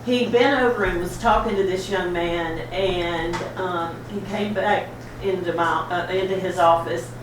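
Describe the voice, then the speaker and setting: A woman's voice: on the low side, steady tone, unhurried, few pauses. A woman on the school board giving a chatty, laughing tribute speech at an awards event. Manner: warm, chatty, laughing storyteller. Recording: echoey hall from far off, noisy.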